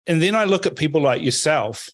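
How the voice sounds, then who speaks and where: A man's voice: fairly high pitch, animated, a little fast, dry recording, studio quality. Opinionated male host from Fiji. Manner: leading, opinionated questions. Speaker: podcast host. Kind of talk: political interview.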